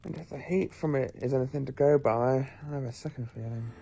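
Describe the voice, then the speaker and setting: A man playing an eccentric, clever time-travelling professor in an audio drama, with a brisk, musing, take-charge manner. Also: mid-range voice, vocal fry, close to an everyday voice.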